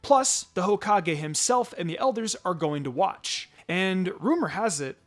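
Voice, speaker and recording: A man's voice: high-pitched, expressive, a little slow, soft background music, voice pushed up. A man voicing an older male ninja teacher in a story, offhand, hearty and encouraging. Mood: informative.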